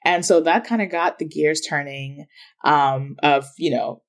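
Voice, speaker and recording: A woman from Ghana, a business owner, telling her story as a podcast guest in a chatty, animated way. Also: deep voice, a little slow. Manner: chatty, animated, many fillers. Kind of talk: casual interview storytelling.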